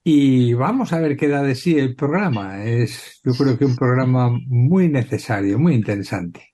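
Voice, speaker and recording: A man's voice: mid-range voice, animated, a little slow, dark tone. A man from Spain speaking in an upbeat, welcoming way as a radio panelist.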